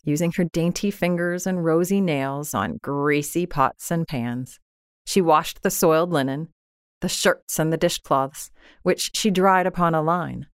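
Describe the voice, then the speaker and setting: Female storyteller for classic fiction: lowish register, deliberate expressive reading, dry recording. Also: dry recording. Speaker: audiobook narrator. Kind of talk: scripted literary storytelling.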